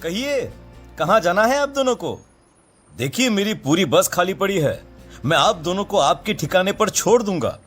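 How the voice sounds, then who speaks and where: A man playing a smooth-talking, ingratiating bus conductor in a cartoon horror story, politely coaxing. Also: sits high, lively intonation, moderate pace, a bit bright, faint music behind. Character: smiling, smooth-talking male bus conductor chewing paan. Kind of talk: animated Hindi horror story dubbing.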